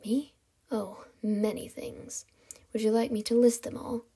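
A woman voicing a menacing, mocking male kidnapper in a hollow, breathy hissing whisper for a story reading. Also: fairly high pitch, level tone, dark tone. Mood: sinister mocking. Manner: taunting, drawn-out menace.

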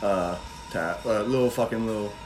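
A hoarse young man with a lowish voice rambles through a casual podcast with crude, goofy jokes. Faint music sits behind him. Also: normal speed, rough recording. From Ohio. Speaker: podcast co-host. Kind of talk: casual chat.

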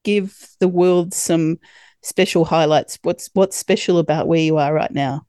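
A woman's voice: low voice, fairly even intonation, a little slow, mellow, clear, resonant tone, no echo, clean studio sound. A woman who works as a yacht chef, hosting a podcast interview in a friendly, chatty way and laughing often. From Australia.

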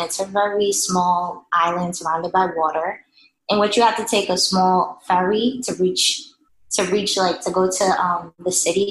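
A woman's voice: on the low side, a bit bright. Young ny woman chatting in an interview, lots of ums and likes, roomy echo. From New York City. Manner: hesitant, many fillers. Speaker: guest artist. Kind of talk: casual interview answers. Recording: echoey room with faint noise.

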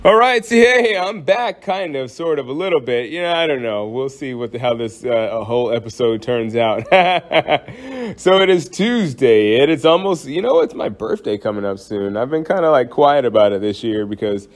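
A man's voice: mid-range voice, lively intonation, normal speed. A man hosting his own podcast, chatting casually and rambling with lots of fillers and jokes. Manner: rambling, joking, frequent fillers and restarts. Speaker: podcast host. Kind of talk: casual solo podcast chat.

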